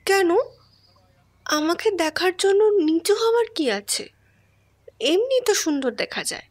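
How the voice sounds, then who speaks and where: A woman's voice: very high voice, very animated, a little slow, exaggerated voice. A woman playing an innocent, cheeky orphan girl with a naive, questioning manner in an audio story. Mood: innocent, puzzled. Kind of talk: dramatised Bengali horror audio story.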